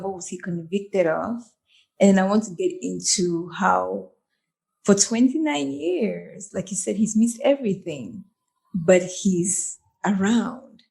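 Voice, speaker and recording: A woman's voice: medium pitch, expressive, takes it slowly, creaky, mellow, rough recording. A woman host from Cameroon asks probing questions in a casual interview, often repeating words as she starts. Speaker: podcast host.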